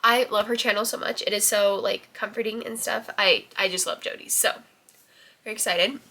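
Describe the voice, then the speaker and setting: A young woman with a bright, clear-edged voice, stuffed up and nasal from a head cold. She talks casually to her camera at home, rambling with plenty of ums and likes, her pitch sitting right in the middle for a woman. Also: steady tone, normal speed. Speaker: book vlogger. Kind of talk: casual vlog chat. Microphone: camera in her room.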